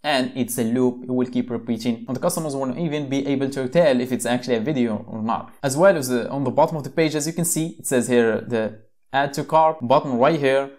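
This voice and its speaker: A man's voice: medium pitch, fairly quick, a little mellow. Young man from Morocco, laid-back tutorial host, chatty with fillers, desk mic at home. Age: young adult. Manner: casual, conversational, frequent fillers and restarts. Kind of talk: screen-share software tutorial. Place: small room at home, desk setup.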